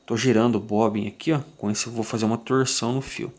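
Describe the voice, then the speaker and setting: A man with a somewhat low voice talks through a fly tying tutorial in a calm, methodical way. He is from Brazil and keeps checking in with his viewers after each step, as if sitting at his own tying bench. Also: fast talker, slight background noise. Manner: calm, methodical, ends phrases with "tá?".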